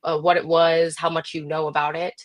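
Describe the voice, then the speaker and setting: A woman's voice: deep voice, level tone, moderate pace. A woman hosting a casual webinar discussion in a chatty way. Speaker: host, moderator.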